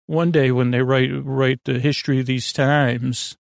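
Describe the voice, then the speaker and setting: A man's voice: mid-range voice, fairly even intonation, a little slow, vocal fry, no echo, clean studio sound. A man hosting a bedtime podcast, rambling in a friendly, meandering way, often trailing off and restarting. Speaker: podcast host.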